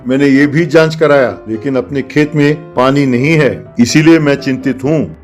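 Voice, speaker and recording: A man playing a proud, stubborn young engineer turned farmer in an animated comedy story. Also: medium pitch, normal speed, soft background music, natural voice. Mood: worried.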